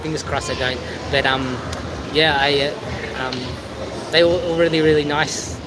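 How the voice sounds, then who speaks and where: A man with a squeaky, fairly high voice rambles in a chatty live-stream vlog. He sounds a little over-caffeinated after hours of talking. Loud traffic and city noise run under his voice outdoors. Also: fairly even intonation, measured pace. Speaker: travel vlogger. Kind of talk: casual live-stream chat.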